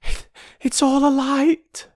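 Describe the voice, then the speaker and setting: A man's voice: extremely high pitch, flat delivery, slow pace, voice pushed up. A man voicing a brave young girl who is out of breath from running. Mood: urgent.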